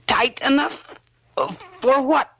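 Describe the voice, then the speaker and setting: An actor playing a trusting, excitable young lad in a 1950s radio drama, earnest and naive. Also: male voice, extremely high pitch, slow pace, heavily acted. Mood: agitated. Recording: noisy vintage radio recording with clicks.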